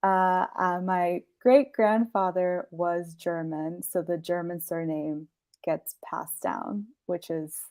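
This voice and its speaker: A woman's voice: fairly low pitch, slow pace. A young woman who grew up in the US, chatting as a guest in an easy, friendly way with small laughs. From Peru, grew up in the US. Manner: friendly, laughs between phrases, relaxed. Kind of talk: casual interview chat.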